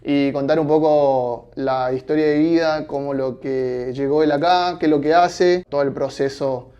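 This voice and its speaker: A man's voice: a little high, fairly even intonation, a little slow, mellow, clear, resonant tone, muffled recording. A man with an Argentine accent hosting a casual interview vlog, friendly and upbeat. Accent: Argentine Spanish. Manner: friendly, upbeat, uses voseo. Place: office.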